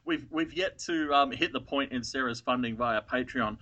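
A man's voice: mid-range voice, moderate pace, sharp tone, highs cut off. An Australian man co-hosting a casual podcast, joking irreverently and swearing freely. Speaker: podcast co-host. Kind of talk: casual chat.

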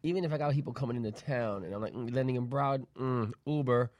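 A man's voice: medium pitch, fast, a little mellow, dry recording. A man from the Bronx, co-hosting a show with casual, colloquial, teasing banter. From Bronx, New York. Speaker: radio show co-host.

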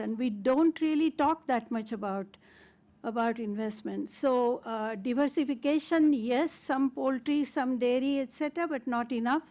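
Older Indian-accented woman, higher-pitched voice. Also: dark tone. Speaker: invited discussant and agricultural economist. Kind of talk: conference presentation.

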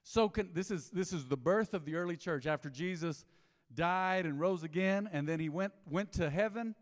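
A man, preaching a sermon in a folksy storytelling way, dropping to low asides and rising to loud emphatic bursts. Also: normal speed, long reverb tail. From Texas. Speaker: pastor. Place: church sanctuary.

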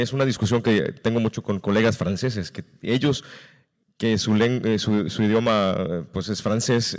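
A man who sings tenor, talking frankly on a post-show panel with lively asides. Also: mid-range voice. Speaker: opera tenor. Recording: reverberant hall.

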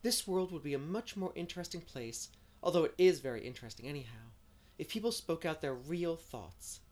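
A dreamy young storybook heroine, voiced by a woman narrator. She talks effusively and poetically, with a very animated melody. Her phrases are emphatic and full of romantic eagerness. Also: low-pitched, brisk, pitched above the performer's own voice. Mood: delighted. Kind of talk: audiobook character reading.

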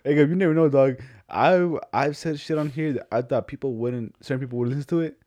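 A young man co-hosting a podcast, telling stories in casual chat with an animated, laughing manner. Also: medium pitch, fast. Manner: animated storyteller, laughs often. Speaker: podcast co-host.

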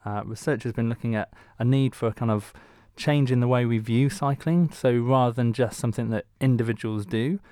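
A man's voice: mid-range voice, brisk, few pauses, mellow, no echo, studio quality. A middle-aged man co-hosting a conversational interview, informal and a little hesitant in his phrasing. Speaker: interview co-host.

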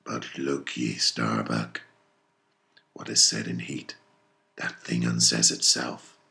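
A man voicing an obsessed, domineering old whaling captain in a storybook reading, gravelly, brooding and grandiose. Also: extremely low pitch, little pitch movement, slow, bright, forceful tone, pitched below the performer's own voice, exaggerated voice. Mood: soothing, sly. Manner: grandiose, archaic, commanding. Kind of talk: dramatic audiobook character reading.

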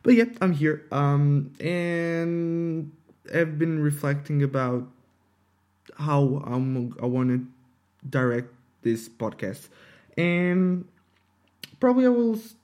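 A nineteen-year-old man, a native Portuguese speaker with a Portuguese accent, hosting an unscripted personal podcast in a halting, hesitant way, often searching for words. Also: on the high side, a little mellow. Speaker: podcast host.

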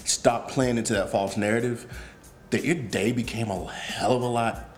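Podcast host, man, relaxed, conversational, leans on "like" and "you know". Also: mid-range voice, moderate pace, faint music behind. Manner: chatty, agreeable, many fillers and "like"s. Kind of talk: casual conversation.

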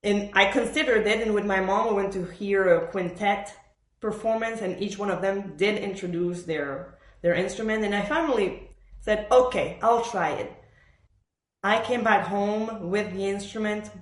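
A woman raised in Montreal talks as a podcast guest in an echoey room. Her pitch sits in the middle for a woman. She tells personal stories in a conversational way, voicing the remarks of others as she goes. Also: moderate pace. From born in Argentina, raised in Montreal, Canada. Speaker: podcast guest, professional orchestral bassoonist. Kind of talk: conversational interview storytelling.

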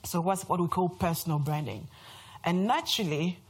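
A woman in her thirties with a French accent speaks to a live audience in an event room. Her voice sits a little low and has a husky edge. She talks in a chatty, self-mocking way, joking at her own expense as she presents. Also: moderate pace. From France. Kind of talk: lively presentation talk.

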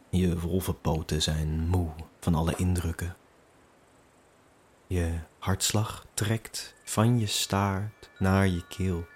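A man's voice: sits low, darkish tone, a little hiss or hum. A man narrating a scripted audio drama in the second person, in a dramatic, immersive manner. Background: music.

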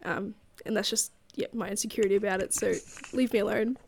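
A woman's voice: mid-range voice, fairly even intonation, quick pace, a little mellow, slight background noise. A woman in her late twenties co-hosting a book club podcast. She has a cold, sounds a bit nasal, and rambles with lots of fillers. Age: late 20s. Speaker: podcast co-host. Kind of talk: casual book club chat.

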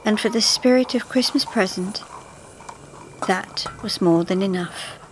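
A woman reading a scripted story to a live audience in a measured, dramatic way. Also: medium pitch. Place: theatre stage, live reading. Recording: live theatre recording with faint background noise.

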